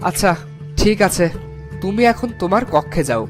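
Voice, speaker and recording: A man's voice: high-pitched, big pitch swings, moderate pace, music in the background. A man voicing an upright, authoritative king in a fairy-tale cartoon, formal and commanding.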